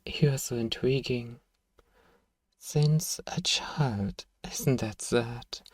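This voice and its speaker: Man playing a slow, hushed, menacing vampire seducer. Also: medium pitch, no room sound, distant mic. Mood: coaxing, falsely sympathetic. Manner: slow, hushed, taunting, condescending, lapses into whispers. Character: powerful elder male vampire, suave, menacing, manipulative seducer. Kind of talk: ASMR roleplay monologue.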